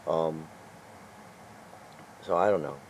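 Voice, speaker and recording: Low, mellow-voiced older man rambling. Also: slow pace, a little hiss or hum. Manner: exasperated, chuckling, meandering. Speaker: vlogger. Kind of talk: casual rambling vlog talk.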